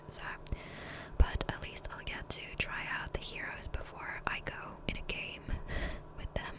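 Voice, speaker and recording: A woman whispering softly and breathily in a chatty, rambling ASMR style over gameplay, close to a condenser microphone. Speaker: gaming ASMR creator. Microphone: close USB condenser microphone.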